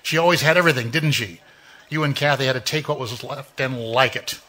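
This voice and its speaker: A man's voice: mid-range voice, sharp tone. A man playing an all-knowing narrator who taunts a jealous woman in a live 1940s-style radio mystery. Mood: taunting. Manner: insinuating, measured, knowing. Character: mysterious omniscient male narrator taunting a killer.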